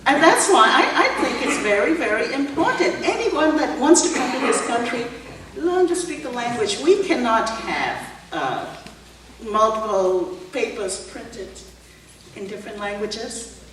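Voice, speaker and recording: A woman's voice: a little high, big pitch swings, measured pace. Woman in her sixties, echoey hall. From Burma. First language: Burmese. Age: 60s. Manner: anecdotal, laughing asides, rhetorical questions. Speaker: political candidate. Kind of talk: candidate forum answer. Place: museum event hall. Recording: echoey hall, distant mic, background noise.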